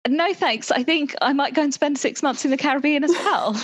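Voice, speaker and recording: A woman's voice: high voice, normal speed, lightly acted. A woman playing a polite, unruffled secret agent with an understated, dry manner in an improvised roleplay. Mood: dryly declining.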